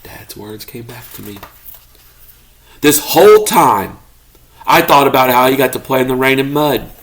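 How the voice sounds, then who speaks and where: A man reading a children's story aloud expressively, as a parent does to a child, pausing to ask questions. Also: mid-range voice, fairly bright tone. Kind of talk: expressive children's read-aloud, narrating as a pet dog.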